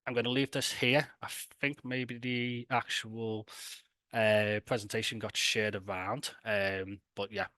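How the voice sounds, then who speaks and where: A man's voice: lowish voice, level tone, unhurried, pushed and bright, dry recording. A man with a Scouse accent hosting an event in a casual, chatty, enthusiastic and colloquial way. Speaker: event host and presenter. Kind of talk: casual, informal event presentation.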